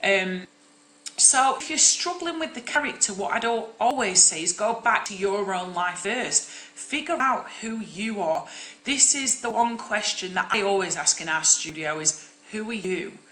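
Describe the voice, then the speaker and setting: A young woman, an acting coach, teaching in a loud, projecting and direct way on a live stream. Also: medium pitch, animated, unhurried, roomy sound, low-quality recording.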